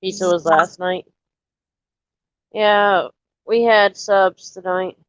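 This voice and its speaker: A woman chatting casually to viewers on a live stream, a little nasal and stuffy from allergies, rambling in a relaxed way. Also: medium pitch, takes it slowly, smooth, no room sound, dull audio. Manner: rambling, relaxed, talks straight to chat.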